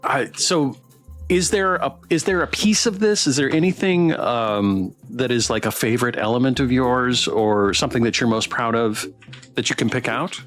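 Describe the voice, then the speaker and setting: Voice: medium pitch, normal speed, faint music behind. A streamer hosting a casual Q&A, speaking in an encouraging, complimentary way and feeling out questions as they go. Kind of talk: casual interview chat.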